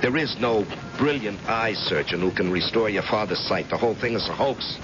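An actor playing a blunt, protective family friend in an old-time radio crime thriller, sometimes hesitant, sometimes urgent. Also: male voice, on the low side, normal speed, over music, close to an everyday voice. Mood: reluctant, confiding. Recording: dull, very noisy old radio recording.

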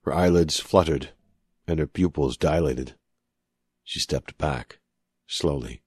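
Male fiction narrator, extremely low pitch, raspy husky tone, scripted storytelling. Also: takes it slowly, darkish tone, dry recording, studio quality. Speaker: audiobook narrator.

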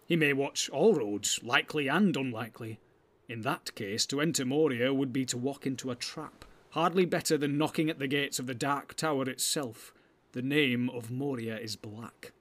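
A man's voice: mid-range voice, moderate pace, fairly bright tone, lightly acted. A man playing a proud, skeptical warrior in a fantasy audiobook, with formal, declamatory, challenging speech. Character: proud, skeptical male warrior, forceful and blunt.

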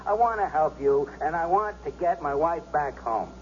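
A man playing a volatile, bitter cop husband, high-pitched. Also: lively intonation, normal speed, lightly acted. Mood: urgent, threatening. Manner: menacing, swinging from hushed to shouting. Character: bitter, vindictive policeman husband negotiating with a gunman. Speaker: actor in a radio drama. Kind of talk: 1970s radio drama acting. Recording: dull, noisy 1970s radio broadcast.

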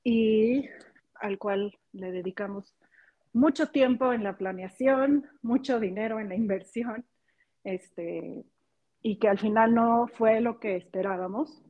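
A woman's voice: fairly high pitch, slow pace, lo-fi sound. Chatty woman in her forties. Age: 40s. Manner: chatty, with fillers like "este". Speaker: podcast guest. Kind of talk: casual storytelling.

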